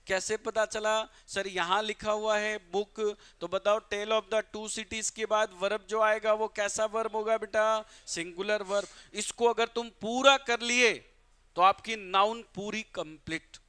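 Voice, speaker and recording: A man from Bihar teaching English grammar in a live online class, calling students "beta", asking and answering his own questions, and reading English examples out slowly. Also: sits high, sharp tone, clean tone. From Bihar, India.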